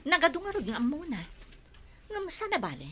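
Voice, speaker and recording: Sharp, scheming Ilocano aunt. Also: female voice, very high-pitched, sing-song, moderate pace, slight background noise, heavily acted. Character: scheming, greedy, domineering aunt. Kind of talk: Ilocano radio drama acting.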